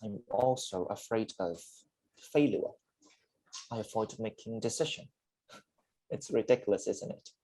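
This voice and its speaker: A man's voice: lowish voice, measured pace, long pauses, dark tone, rough recording. A man playing a regretful, self-doubting middle-aged father and game developer in staged reader's theatre, confessional and earnest. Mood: bitter confession.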